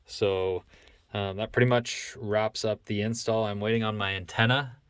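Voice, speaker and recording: A man with a low-pitched voice talks through hands-on work as a DIY host. His delivery is level, with little melodic movement, and he lays out each step plainly and casually in a matter-of-fact how-to style. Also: fairly even intonation, normal speed, fairly bright tone, clean tone, dry recording. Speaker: DIY vehicle mechanic, video host.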